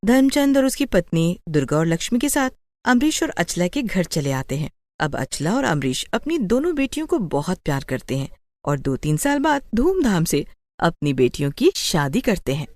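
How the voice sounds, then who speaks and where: A woman's voice: lowish voice, very animated, moderate pace, darkish tone, no echo. A woman narrating a scripted bedtime moral story.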